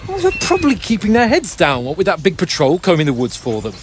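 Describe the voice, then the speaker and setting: A breezy young nobleman in a game scene. His high voice bounces around as he banters with companions, hooves and outdoor ambience clearly behind him. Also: huge pitch swings, fast talker. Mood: jovial. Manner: jaunty, bantering. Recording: noisy outdoor horse and hoof ambience. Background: clip-clopping horses, rural outdoor ambience.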